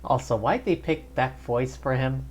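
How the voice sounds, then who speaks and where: Very low-voiced woman streaming a game. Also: a little slow, a little mellow. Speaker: game livestreamer. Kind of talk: casual reactions while playing a game.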